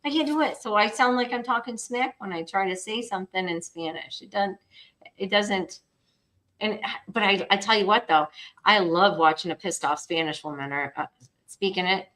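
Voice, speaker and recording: A woman's voice: medium pitch, moderate pace, fairly bright tone. A woman from the Midwest chatting casually as a podcast guest, laughing often and repeating her phrases.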